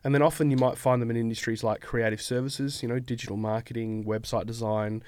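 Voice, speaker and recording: A man's voice: medium pitch, flat delivery, quick pace, a little mellow, no echo. A man in his thirties with an Australian accent, a guest on a podcast, talking in a laid-back, rambling way with lots of fillers. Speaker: guest, accounting firm owner. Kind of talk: podcast interview. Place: studio.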